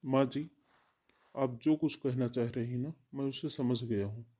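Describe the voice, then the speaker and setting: Man acting an earnest husband, medium pitch. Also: steady tone, unhurried, dark tone, lo-fi sound, close to an everyday voice. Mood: resigned. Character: earnest young husband, devoted to his sister. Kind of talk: Hindi cartoon family drama dialogue.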